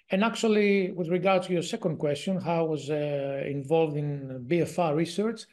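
A man in his forties speaks English with a strong Greek accent, stopping often on fillers and restarting his sentences. He is heard over a video call from a home office, and his voice sits fairly high for a man. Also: a little mellow. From Greece. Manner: hesitant, many fillers and restarts. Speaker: guest researcher. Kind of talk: casual interview talk.

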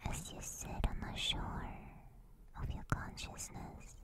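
A woman doing ASMR guided relaxation in a soft, breathy, gentle and reassuring whisper, close to a binaural microphone. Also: slow pace. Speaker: ASMR streamer.

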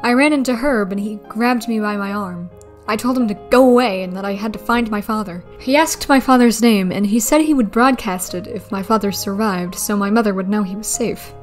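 A woman's voice: medium pitch, fairly quick, soft background music, lightly acted. A woman playing an earnest adult daughter who recalls searching for her father, in a scripted documentary reenactment. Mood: earnest, recalling.

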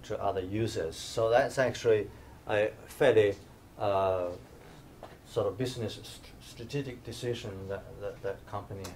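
Low-voiced man giving a hesitant lecture. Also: fairly even intonation, normal speed, a little mellow, a little hiss or hum. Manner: hesitant, many fillers and restarts. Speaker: invited speaker, professor. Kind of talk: conference lecture. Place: dim lecture room, standing by projector screen.

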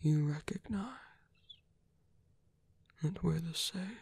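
A man performs a seductive male villain for an ASMR roleplay, coaxing and teasing at a very unhurried pace. His voice is husky and breathy, often sinking to a whisper, with a creaky edge. He leaves long pauses, as if toying with a captive. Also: on the high side, a little mellow, dry recording, full character voice. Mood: playful, seductive.

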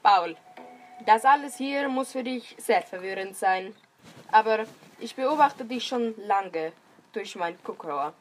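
A woman's voice: mid-range voice, lively intonation, slow pace. A woman playing a cryptic, solemn female secret agent in a children's radio play. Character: mysterious female secret agent recruiting her successor.

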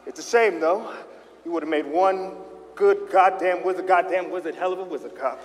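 A man's voice: high voice, normal speed, close to an everyday voice. A man playing a swaggering, jive-talking wizard police chief in a comedy staged reading, brash and commanding. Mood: brash, admiring. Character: swaggering male wizard police chief, funky cop-movie lawman. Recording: reverberant hall, faint noise.

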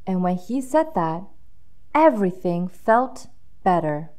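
A woman's voice: fairly low pitch, very animated, takes it slowly, darkish tone, clear, resonant tone. A woman reading a children's storybook aloud with dramatic emphasis, drawing out key words for young listeners.

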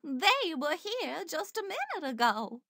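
A man voicing a meek, timid younger sister with a soft, small, yielding voice in a storybook reading. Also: extremely high pitch, very animated, measured pace, vocal fry, no echo, clean studio sound, voice pushed up, heavily acted. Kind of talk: storybook read-aloud.

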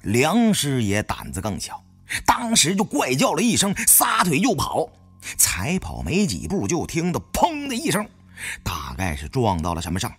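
Very husky, animated male audiobook narrator. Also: mid-range voice, moderate pace, faint music behind. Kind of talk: dramatic first-person storytelling.

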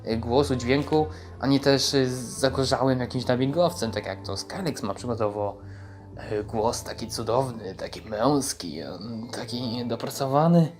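A young man introduces a video in a casual, rambling way. He hesitates as he goes, with a mid-pitched voice and light music behind him. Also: normal speed, darkish tone. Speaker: gamer and amateur voice-over creator.

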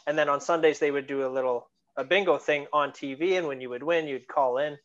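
A man in his thirties from Canada co-hosting a podcast over a video call, talking with eager excitement and casual swearing. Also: on the high side, fairly quick. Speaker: podcast co-host. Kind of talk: casual chat. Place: home room with bookshelves, video call. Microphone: headphones, video call. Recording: dull lo-fi video call audio.

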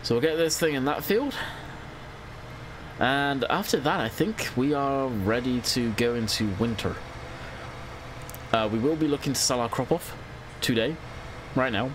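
A man's voice: mid-range voice, expressive, measured pace, long pauses, background noise. A young man with a stuffy, congested voice, chatting casually as a gaming channel host and thinking aloud while he plays. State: congested from a cold. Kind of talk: casual let's-play commentary.

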